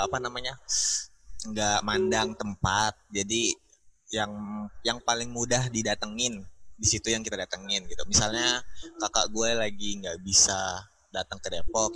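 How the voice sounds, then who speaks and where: A young man talks in an easy, slangy way and often pauses on fillers. His voice sits low, but it has a bright, forceful edge. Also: low-quality recording. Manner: relaxed slangy talk with fillers. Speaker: panel guest. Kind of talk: casual chat.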